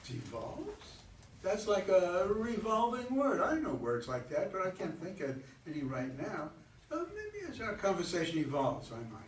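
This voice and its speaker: A man's voice: high-pitched, huge pitch swings, normal speed. A man in a staged play reading, acting a pushy, teasing, talkative flirt who needles a stranger. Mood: musing. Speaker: amateur play reader. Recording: distant roomy recording with faint noise.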